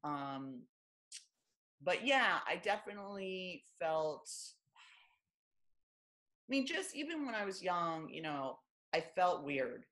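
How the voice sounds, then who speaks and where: A woman from Los Angeles with a deep, low voice, a former stand-up comic talking candidly and wryly as an interview guest. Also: sing-song, slow, long pauses, a bit bright. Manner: wry, self-deprecating, lots of fillers like "you know" and "like".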